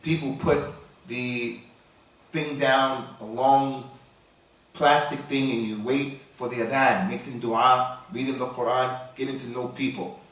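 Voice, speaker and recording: A man from the United States giving a sermon, reciting Arabic verses quickly and then explaining them in English. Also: mid-range voice, steady tone, a bit bright. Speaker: Islamic preacher. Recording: very echoey hall, voice from far off.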